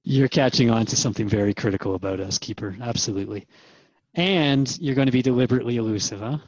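A man's voice: medium pitch, quick pace, darkish tone, dry recording, lightly acted. Dry muffled-ish audio, guy acting as casual radio interviewer in a drama, curious n kinda bemused, asking stuff. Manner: informal, questioning, slightly bemused.

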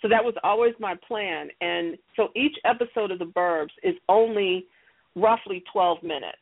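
A woman's voice: medium pitch, moderate pace, vocal fry. Middle-aged woman on a muffled phone line. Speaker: interview guest, audio theater creator and company leader. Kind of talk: interview answers, explaining her success strategies. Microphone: telephone or call connection.

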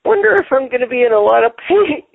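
A man hosting a casual radio show, talking haltingly and repeating words, with many fillers. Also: extremely high pitch, big pitch swings, gravelly, no room sound. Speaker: show host. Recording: tinny phone-line sound.

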